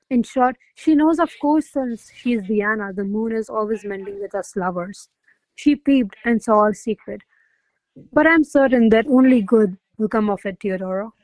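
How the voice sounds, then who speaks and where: Radio play ingenue voiced by an actress: young maid in love, effusive, excitable, highish pitch, dry recording. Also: female voice, no room sound, lightly acted, rough recording. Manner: effusive, romantic, theatrical. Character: devoted, excitable young lady-in-waiting in love. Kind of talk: verse stage comedy, recited as radio play.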